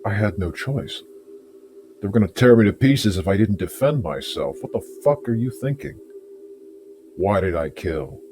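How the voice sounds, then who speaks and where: Game role: volatile, troubled male fugitive played by a man, very low register, blunt delivery over clear music. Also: expressive, normal speed. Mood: furious. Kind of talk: video game cutscene dialogue.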